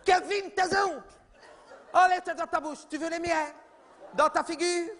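A man's voice: very high voice, steady tone, normal speed, fairly bright tone, some chatter behind. A man voicing a chatty, oblivious middle-aged mother, rambling and gossipy in a comedy sketch. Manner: rambling, gossipy, repeats "oui, oui", talks over others.

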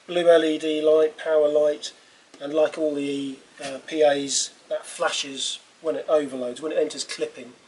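A man in his fifties gives a casual product demonstration in an offhand, halting way. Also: a little high, level tone, darkish tone, low-quality recording. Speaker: presenter demonstrating portable PA equipment. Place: small wooden cabin with doors open. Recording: clear background noise, open-room ambience.

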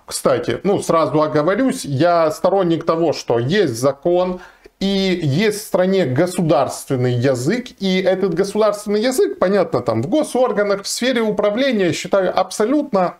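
A man's voice: high-pitched, expressive, normal speed, a little mellow. Earnest man giving emphatic commentary. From Ukraine. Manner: earnest, emphatic, argumentative with frequent fillers. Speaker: lawyer. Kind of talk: to-camera commentary. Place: small indoor room, seated in armchair. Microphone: fixed camera, seated facing it.

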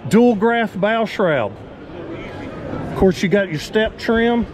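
A man's voice: sits high, slow. A man giving a casual walkthrough commentary as a boat show vlogger, listing features in short admiring phrases. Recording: busy crowded expo hall noise. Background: crowd chatter at a boat expo.

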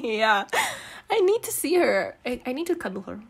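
Breathy, high-voiced giggly girlfriend, acted by a woman. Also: big pitch swings, a little slow, a little mellow, heavily acted. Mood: delighted, cooing. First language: not English. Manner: giggly, teasing, rambling with pet names. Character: giggly, teasing, affectionate gamer girlfriend comforting her partner. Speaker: ASMR roleplay performer. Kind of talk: intimate girlfriend roleplay ASMR, casual improvised-sounding chat.

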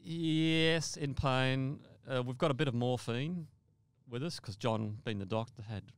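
A man's voice: medium pitch, a little slow, smooth, dry recording. An older man telling a first-person story conversationally as a podcast guest, often repeating and rephrasing his words.

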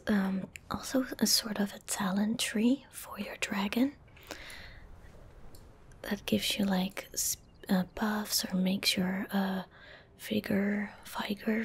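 A young woman doing soft-spoken ASMR gameplay commentary, gentle and breathy, close on a studio microphone. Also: medium pitch. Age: young adult. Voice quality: breathy, whispered. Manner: gentle, unhurried, casual. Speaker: ASMR game streamer. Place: indoor streaming room.

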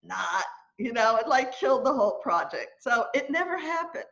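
A woman in her sixties, a choir leader, telling a story in an animated, chatty way during a lesson on a video call from home. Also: on the high side, normal speed. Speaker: choir leader and music teacher. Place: room at home, indoors. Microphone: laptop webcam on a video call. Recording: dull lo-fi video call audio.

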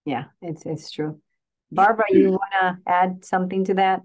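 A woman's voice: fairly low pitch, fairly even intonation, moderate pace, a little mellow. A middle-aged woman moderating a panel on a video call, speaking in a casual, conversational way.

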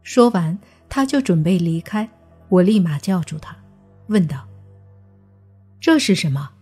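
Casting: female audiobook narrator for suspense fiction, unhurried and mellow, light music underneath. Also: on the low side, lively intonation, slightly rough. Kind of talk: scripted suspense story narration. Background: background music.

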